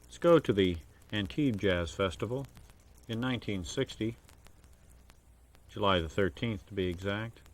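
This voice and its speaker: A man working as a radio DJ, introducing jazz records in a measured, formal announcing style with careful enunciation of names and dates. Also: fairly low pitch. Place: radio studio. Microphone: broadcast studio microphone.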